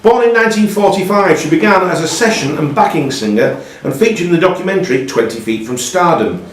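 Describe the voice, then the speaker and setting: Lively male quiz master in an echoey room. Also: a little high, fairly quick. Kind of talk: reading quiz questions aloud to contestants. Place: pub room during a live quiz. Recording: roomy, echoey pub room, slightly distant mic.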